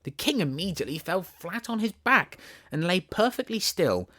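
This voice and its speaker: Animated Southern English man narrating a storybook. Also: high-pitched, moderate pace, no echo, studio quality. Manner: theatrical, animated. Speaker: audiobook narrator.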